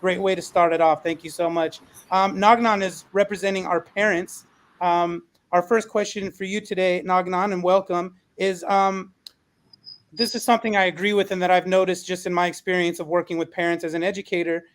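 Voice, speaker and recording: Male podcast moderator, high pitch with little variation, encouraging and conversational. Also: little pitch movement, brisk. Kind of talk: moderating a panel discussion.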